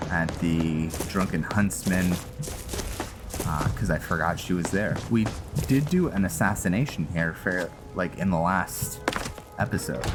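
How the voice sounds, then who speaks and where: A man hosting a roleplay game playthrough, giving casual, low-key commentary as he thinks aloud. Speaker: gaming video host. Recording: loud game music behind the voice. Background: video game music.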